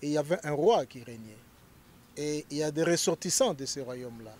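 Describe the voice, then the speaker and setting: A man's voice: fairly high pitch, expressive, brisk, darkish tone. A man from Congo, a lawyer, explaining his association in an interview, hesitant with many fillers and growing more emphatic.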